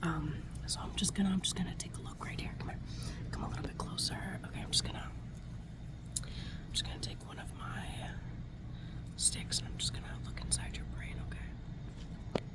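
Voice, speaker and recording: A woman's voice: lowish voice, steady tone. Whispering woman in an ASMR roleplay. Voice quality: breathy whisper. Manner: soft, soothing, halting, reassuring. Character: gentle scientist tending a robot. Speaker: ASMR performer.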